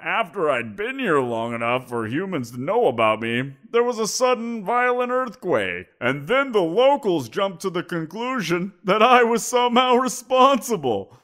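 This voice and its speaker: A man's voice: high voice, very animated, normal speed, hardly any pauses, fairly bright tone. A man voicing a giant wolf creature in an audio roleplay, teasing and amused, chuckling as he talks. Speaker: voice actor.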